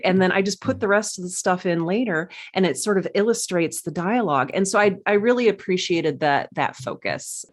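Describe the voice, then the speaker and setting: A woman with a markedly low voice talks as a panelist about her craft. Her speech is conversational, pausing to find words and becoming expressive where she cares. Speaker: panelist, audio fiction writer.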